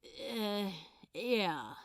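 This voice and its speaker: A woman puts on a storybook grump, a tired man who would rather be home. He mumbles in short, hesitant bits, slow and flat. The delivery is awkward and reluctant, recorded dry. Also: medium pitch, no room sound. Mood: awkward, weary.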